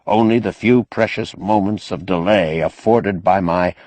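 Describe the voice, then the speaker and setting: A man's voice: sits low, a little slow, darkish tone, no echo. A man voicing a wily, indignant, eloquent doctor with pompous, ornate oratory in an audiobook. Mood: accusing, forceful. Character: wily, indignant, eloquent male doctor, master of his house. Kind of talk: audiobook character acting.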